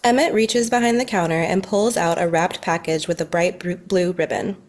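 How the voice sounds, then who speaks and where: Lowish-voiced woman narrating a script. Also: steady tone, moderate pace, a little mellow. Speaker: narrator reading stage directions. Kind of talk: scripted narration at a table read.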